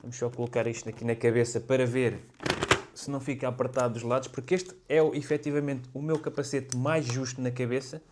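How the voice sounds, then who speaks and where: A man's voice: mid-range voice, little pitch movement, fairly quick, background noise. A man with a European Portuguese accent talks casually and explains things conversationally, as a motorcycle vlogger reviewing gear to camera.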